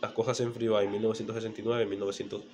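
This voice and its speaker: A Dominican man with a fairly low voice gives an explanatory monologue as a channel presenter. He teaches as he talks, posing rhetorical questions and repeating key phrases. The home-made recording carries faint background noise. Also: flat delivery, rapid-fire, a little mellow. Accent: Dominican Spanish. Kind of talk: explanatory history monologue to camera.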